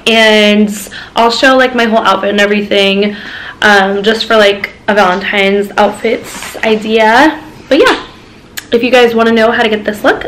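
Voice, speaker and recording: A woman's voice: fairly high pitch, a bit bright, slight background noise, low-quality recording. A young woman chatting casually to camera as a beauty vlogger, her voice a little off from being sick, with lots of ums and likes. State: sick, voice a bit off.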